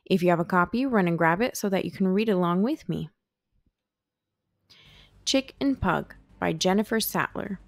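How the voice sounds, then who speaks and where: A woman's voice: mid-range voice, no room sound. A woman hosting a children's read-aloud, narrating a picture book. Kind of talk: scripted storybook narration.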